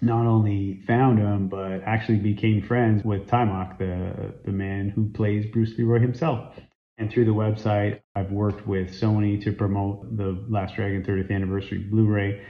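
A man's voice: on the low side, level tone, normal speed, soft background music. A Canadian man in his forties talks earnestly and enthusiastically to his phone camera in a small room, with plenty of ums and uhs. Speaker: fan site founder and interviewee. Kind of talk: casual interview talk to camera. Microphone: phone camera at arm's length. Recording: roomy, slightly dull phone audio.

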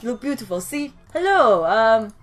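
A woman's voice: a little high, very animated, moderate pace. A young woman putting on a theatrical French accent to play a fussy portrait painter while playing a game. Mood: flattering. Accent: put-on French. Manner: flustered, theatrical, polite. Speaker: let's player. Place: indoor room, seen on webcam.

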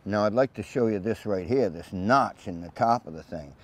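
Older male craftsman, low voice, plain practical explainer, outdoor lapel mic, faint background hum. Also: animated, normal speed. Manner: plainspoken, practical, folksy asides. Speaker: shipwright demonstrating chainsaw work. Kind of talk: hands-on instructional explanation. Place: outdoors in a grassy yard by a mill.

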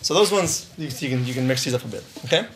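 A man's voice: mid-range voice, expressive, fast, poor audio. A man in his thirties teaching a live drum lesson on a studio stage, upbeat, counting rhythms aloud, and losing his voice.

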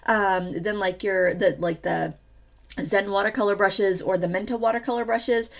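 A woman's voice: mid-range voice, fairly even intonation, normal speed, creaky. A woman hosting a painting tutorial, chatting casually with lots of fillers, a little stuffy from a cold. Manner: chatty, rambling, many fillers. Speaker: art tutorial host.

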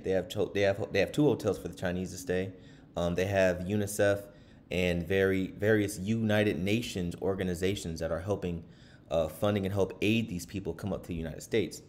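Deep-voiced man rambling through commentary. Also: steady tone, moderate pace, darkish tone, a little hiss or hum. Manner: rambling, many fillers and restarts, reads aloud from a web page. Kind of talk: casual screen-share commentary.

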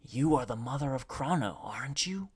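A man voicing a furtive, intense army deserter in a story, gruff and often whispering, secretive and urgent. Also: mid-range voice, moderate pace, close to an everyday voice. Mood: urgent, hushed. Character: furtive, intense soldier deserter posing as messenger.

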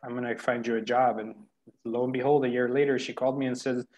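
Guest, forties, from Spain, self-deprecating and easygoing, mid-pitch, video call audio. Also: male voice, little pitch movement, fast talker, a little mellow, rough recording. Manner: laughs often, self-deprecating. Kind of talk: casual storytelling. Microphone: headset on video call.

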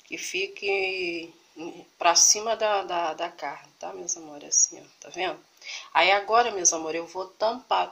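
A woman's voice: on the low side, fairly bright tone, slight background noise, poor audio. A Brazilian woman with a cold, talking homely and casual as she films her cooking and groceries in a home kitchen on a phone, repeating endearments to her viewers. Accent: Brazilian Portuguese. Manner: homely, repeats "meus amores" and "tá" constantly. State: has a cold, congested voice. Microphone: phone camera held over food, speaker off screen.

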